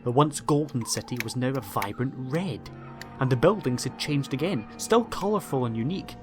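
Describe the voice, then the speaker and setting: A man's voice: medium pitch, animated, normal speed. A man narrating a scripted audiobook story in a dramatic storytelling style. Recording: music behind the voice.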